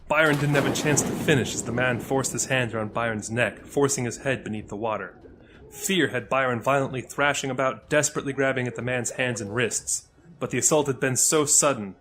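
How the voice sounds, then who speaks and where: A man reading scripted fantasy narration as a storyteller, with dramatic, deliberate delivery. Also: mid-range voice, a bit bright.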